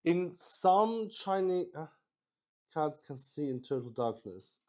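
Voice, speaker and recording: A man's voice: fairly high pitch, big pitch swings, slow pace, mellow. A man hosting a game in casual improvised chat, thinking aloud and swearing with exclamations. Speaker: solo roleplay player and host.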